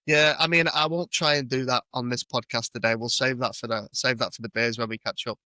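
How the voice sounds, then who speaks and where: Bright-voiced British male podcast host. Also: mid-range voice, fairly even intonation, brisk, dry recording. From United Kingdom. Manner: matey, informal banter. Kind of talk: casual interview chat.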